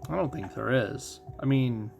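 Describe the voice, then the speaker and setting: A man's voice: medium pitch, a little slow, gravelly, music in the background. A man doing casual live commentary as a game streamer, explaining things conversationally and thinking aloud.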